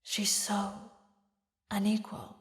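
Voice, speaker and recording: A woman voicing a haughty, mocking demon queen who mourns a lost lover, speaking in slow, theatrical, regal phrases for an audio roleplay. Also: mid-range voice, completely flat delivery, lightly acted. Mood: grieving, bitter. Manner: slow, taunting, regal, with dramatic pauses. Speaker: villainous ruler addressing a knight.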